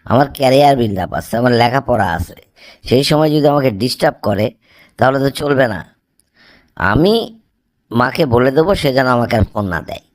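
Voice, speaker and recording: A man's voice: medium pitch, animated, a little fast, long pauses, no room sound, natural voice. A man playing a young student son in an amateur cartoon, speaking in a dramatic way. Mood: annoyed, complaining. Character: young male student, impatient, later remorseful son.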